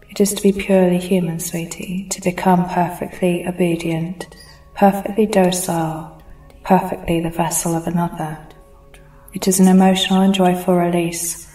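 A woman reading a scripted hypnosis session in a slow, soothing, hushed and intimate way. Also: on the low side, far from the mic. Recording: roomy echo, voice set back from mic, faint music bed.

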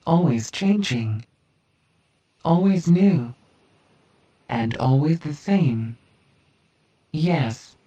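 A hypnotist speaking a slow, soothing, repetitive guided trance script. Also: mid-range voice, expressive, mellow, dull audio.

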